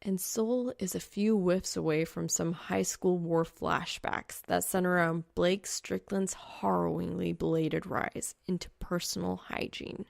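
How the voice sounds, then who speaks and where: A woman reading fan fiction as an audiobook narrator, expressive and wry. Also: lowish voice, unhurried, creaky, hardly any pauses, dry recording, studio quality.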